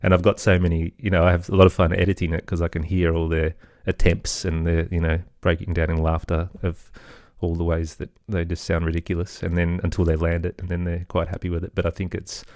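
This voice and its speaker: A man's voice: sits low, completely flat delivery, fairly quick, few pauses, darkish tone, dry recording, clean studio sound. A man with an Australian accent, chatting casually as an interview guest about his work. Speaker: interview guest, children's podcast creator.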